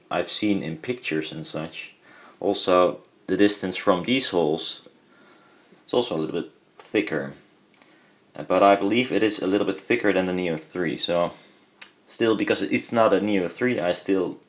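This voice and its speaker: Low-pitched Dutch-accented man, rambling. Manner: hesitant, rambling, self-correcting. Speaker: hobbyist presenter. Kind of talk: casual hands-on product teardown commentary.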